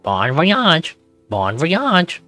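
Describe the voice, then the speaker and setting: A man plays a chattering pet parrot from a visual novel, pitched well above a normal talking voice. It exclaims short stock phrases and repeats them, over music. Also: huge pitch swings, very slow, bright, forceful tone, no echo, heavily acted. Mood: chirpy.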